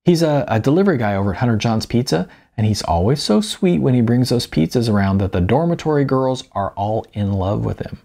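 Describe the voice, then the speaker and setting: A man's voice: mid-range voice, expressive, fairly quick, vocal fry, few pauses, dark tone. A man reading the audiobook dialogue of an earnest, persistent young woman in an eager, emphatic manner. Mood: bright, chatty.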